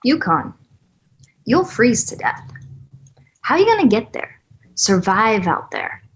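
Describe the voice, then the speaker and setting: A woman playing an intimidating, cranky prison escapee in a screenplay table read, husky and sardonic, needling in a hushed way. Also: on the high side, very animated, unhurried, heavily acted, low-quality recording.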